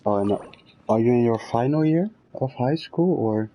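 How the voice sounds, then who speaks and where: A man's voice: mid-range voice, lively intonation, normal speed, muffled recording. A man streaming a video game, chatting casually and thinking aloud as he plays. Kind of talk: casual chat while gaming.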